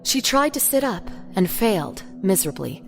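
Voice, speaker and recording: A woman's voice: mid-range voice, sing-song, moderate pace, creaky, music in the background. A woman reading scripted narration for an audio drama.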